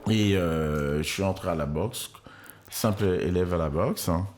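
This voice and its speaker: An older man, a sports club president and coach, answering in a radio interview in a slow, halting way. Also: deep voice, a little mellow, slight background noise. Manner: slow and halting, many fillers.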